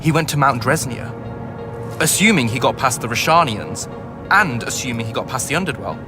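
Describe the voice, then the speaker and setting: A pompous, witty male mage from a video game, played by an actor, talks in long sarcastic flourishes. His mid-pitched voice swoops up and down with lively range, with music playing behind him. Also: moderate pace, close to an everyday voice. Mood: alarmed, fretful. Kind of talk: video game cutscene acting.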